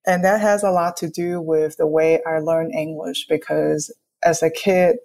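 Young woman from hong kong, pretty low voice, artist chatting in an interview, explains stuff and keeps checking in. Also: fairly even intonation, measured pace, vocal fry, mellow. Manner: explanatory, often checks with "right?". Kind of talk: conversational interview, reflective.

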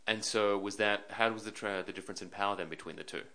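Podcast host guy, talks quick, lowish voice, rambles through his questions. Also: steady tone, pushed and bright. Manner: long, rambling questions with repeated phrases. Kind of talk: casual interview hosting.

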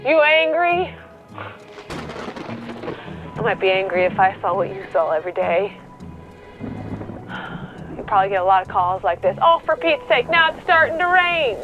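A woman's voice: medium pitch, big pitch swings, normal speed, long pauses, natural voice. An actress in a radio play playing a grieving, exasperated rural woman who pleads and argues, stammering at times. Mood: subdued, weary. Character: grieving, angry rural woman arguing with God. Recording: thin phone-like sound with music.

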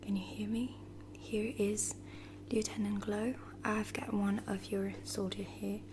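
A woman playing a caring space lieutenant in a whispered ASMR roleplay, breathy, hesitant and soft-spoken. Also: medium pitch, flat delivery, a little slow, background noise, natural voice. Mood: earnest. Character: caring, reassuring female space lieutenant tending a wounded soldier.

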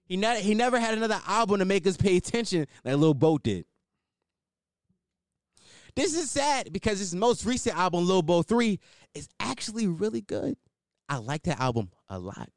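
A young man hosting a solo podcast, blunt and opinionated, swearing and riffing off the cuff, with a higher-pitched voice. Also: very animated, moderate pace, no echo, clean studio sound. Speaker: podcast host.

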